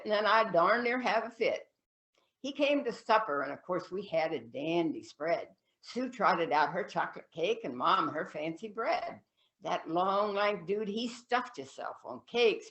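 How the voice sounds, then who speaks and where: A woman's voice: mid-range voice, muffled recording. Woman reciting as a gleeful, mischievous country kid. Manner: animated folksy dialect recitation with dropped deadpan refrains. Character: mischievous gleeful country kid telling tall tale about sister's courting. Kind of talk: comic recitation poem, campfire storytelling.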